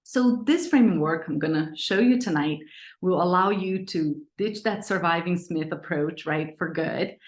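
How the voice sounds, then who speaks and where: A woman whose first language is Portuguese leads a live online parenting session. Her voice sits a little low, and her delivery is earnest and conversational. She reads audience chat replies aloud as she goes. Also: normal speed. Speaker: parenting coach.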